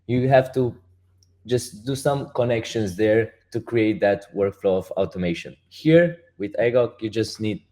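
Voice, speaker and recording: A man with a Romanian accent, a project founder speaking as a guest and explaining step by step in halting, restarting sentences. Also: lowish voice, mellow. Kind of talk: casual interview talk.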